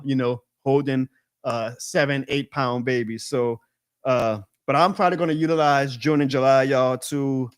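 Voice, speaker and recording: A man's voice: medium pitch, clear, resonant tone. A man in his thirties hosting a live sports talk stream, ranting with energy and laughing often. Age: 30s. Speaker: show host.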